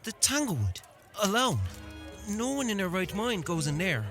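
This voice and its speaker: An eager young village lad, acted by a man in a fantasy audio drama. His voice is high and bright, with lively inflection and an earnest, curious manner. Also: normal speed, faint music behind, heavily acted. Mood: incredulous.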